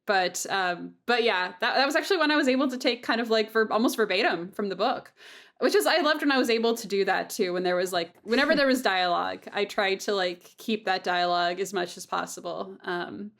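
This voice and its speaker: A woman's voice: mid-range voice, brisk, a bit bright. A woman hosting a casual interview, thinking aloud in an informal, hedging way. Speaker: host and audio drama producer.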